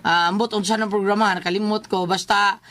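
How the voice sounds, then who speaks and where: Bright, effusive Visayan woman radio host. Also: mid-range voice, fairly even intonation, a little fast. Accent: Visayan Filipino. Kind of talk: casual on-air greetings and banter.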